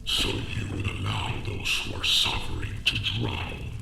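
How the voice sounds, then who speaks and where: A man voicing a vengeful, embittered ancient dragon in a fantasy audio drama, grandiose and menacing in a gravelly growl. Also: a little slow, sharp tone, slight background noise. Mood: accusing. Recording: roomy, echoing, distant voice.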